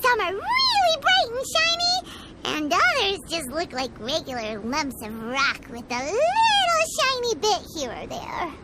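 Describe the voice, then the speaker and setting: A woman's voice: wildly swooping pitch, a little slow, heavy background noise, full character voice. A woman voicing a small, chatty, childlike fairy companion in a video game, with a chirpy, exclamatory delivery. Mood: cheerful, curious. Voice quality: squeaky, childlike. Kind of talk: video game character acting.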